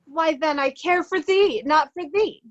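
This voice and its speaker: A woman's voice: sits high, a little slow, muffled recording. A woman playing a haughty, cowardly male steward in Shakespearean reader's theatre, affronted and theatrical. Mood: polite, affronted.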